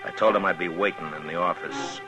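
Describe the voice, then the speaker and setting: Actor playing a terse calm lawman, very deep voice, firm and unhurried, old radio drama. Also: over music, natural voice. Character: stern steady male frontier marshal. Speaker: narrator and lead. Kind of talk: 1950s radio drama acting and narration.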